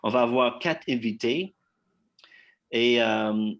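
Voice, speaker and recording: An anglophone man introduces a community conference evening. He speaks haltingly, restarting phrases and filling gaps, in a mid-pitched everyday voice. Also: gravelly, fairly bright tone. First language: English. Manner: halting, many fillers and restarts. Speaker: event host.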